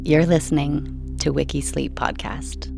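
A woman hosting and narrating a sleep podcast in a calm, quiet, slow and soothing manner. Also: sits low, gravelly. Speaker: host and narrator. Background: background music.

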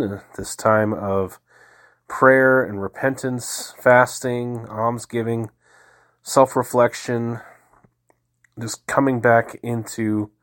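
A man with a head cold, hosting a daily prayer podcast and reading the liturgy in a calm, measured way. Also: medium pitch. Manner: calm, measured, devotional reading with slow pauses.

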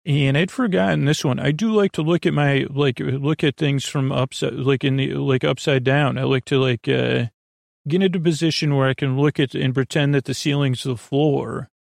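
A man hosting a bedtime podcast in a rambling monologue, with a gravelly voice and a bumbling way of circling around his point. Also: mid-range voice, steady tone, moderate pace, no echo, clean studio sound. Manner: meandering, bumbling, trailing off and circling back. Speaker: podcast host.

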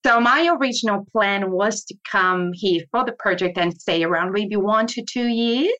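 A Brazilian woman, a native Portuguese speaker, talking through her career as a guest in a relaxed interview, with restarts and light laughs. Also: medium pitch, normal speed, highs cut off. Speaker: guest, board member and consultant.

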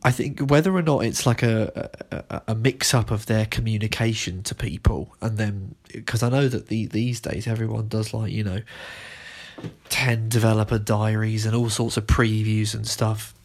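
A man's voice: fairly low pitch, fairly even intonation, normal speed. A man co-hosting a podcast, chatting informally about video games and qualifying his opinions as he goes. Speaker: podcast host, game reviewer.

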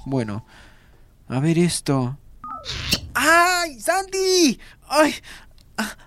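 An actor with an Argentine accent playing a nervous, well-meaning time traveller in an audio drama, stammering and excitable. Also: male voice, very high voice, very slow, a little hiss or hum, full character voice. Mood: curious, reassuring. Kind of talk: Spanish-language audio fiction acting.

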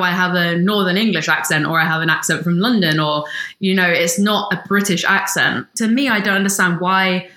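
A woman's voice: fairly low pitch, sharp tone, roomy sound. A woman from the UK co-hosting a podcast, opinionated and teasing in casual chat. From United Kingdom. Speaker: podcast co-host.

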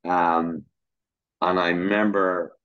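Deep-voiced hesitant Dublin man. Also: level tone, slow pace, clean tone, no echo. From Ireland. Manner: hesitant, many fillers and restarts. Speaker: guest filmmaker. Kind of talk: reflective interview answers. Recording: muffled lo-fi sound.

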